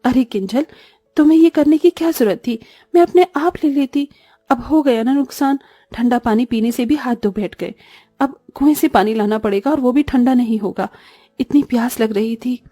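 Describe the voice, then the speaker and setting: A young elder sister, played by a woman in a cartoon story, with a soft, dark-toned voice. She sounds burdened and tired yet caring and reassuring. The sound is dry and close. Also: on the high side, moderate pace, no echo, lightly acted. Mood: weary, worried. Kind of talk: Hindi cartoon story voice acting.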